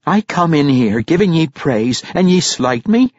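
Man voicing a scolding dwarf mother, pitched well up. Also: big pitch swings, unhurried, husky, no room sound, highs cut off. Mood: exasperated, indignant. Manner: exasperated, blunt, rustic speech. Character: scolding, proud warrior mother, a dwarf woman.

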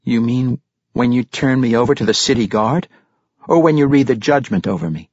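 A man voicing a defiant, quick-witted young boy thief who gives terse, sarcastic replies in an audiobook story. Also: mid-range voice, a little mellow, dry recording, highs cut off, voice pushed up, natural voice. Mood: tentative, wary.

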